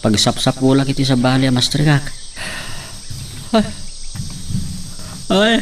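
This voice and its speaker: A man's voice: medium pitch, lively intonation, measured pace, lightly acted. A man playing a hesitant young man working in the city, in an amateur drama. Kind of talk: amateur audio drama acting. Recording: distant mic, faint noise.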